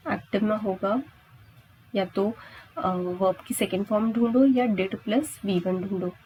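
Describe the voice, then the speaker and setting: Female Indian exam tutor, mid-pitched, unhurried, instructional delivery with regular comprehension checks. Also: mellow, a little hiss or hum, poor audio. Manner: instructional, checks understanding with frequent questions and tags. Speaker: English grammar teacher. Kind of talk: exam-prep lesson, explaining step by step.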